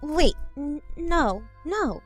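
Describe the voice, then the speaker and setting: A woman's voice: sits high, lively intonation, very slow, full character voice. A woman voicing an earnest young female cartoon pony in an audio drama. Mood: puzzled, concerned. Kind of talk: animated fan fiction audio drama acting. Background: music.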